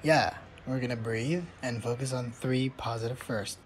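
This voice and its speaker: A woman doing a low, calm teenage boy. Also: normal speed, slight background noise. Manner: flat, level, reassuring. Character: calm, dry teenage boy, steady boyfriend. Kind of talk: fan comic dub.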